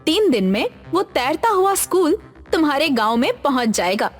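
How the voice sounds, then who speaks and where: Female narrator as young cartoon friend: high, supportive, questioning, music softly behind. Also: big pitch swings, moderate pace. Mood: reassuring. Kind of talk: Hindi animated story voice acting.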